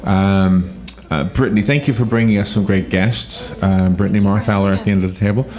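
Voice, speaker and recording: Low-voiced male talk radio host, halting. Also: fairly even intonation, a little mellow. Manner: halting, repeats words. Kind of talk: casual live talk radio hosting. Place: radio studio.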